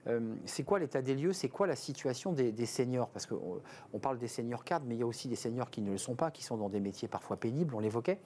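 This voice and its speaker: A male interviewer with an accent from France speaks very quickly at a medium pitch. He hosts in a conversational broadcast style, probing with questions and chiming in with agreement. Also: lively intonation, mellow, a little hiss or hum. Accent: French from France. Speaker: interviewer and programme host.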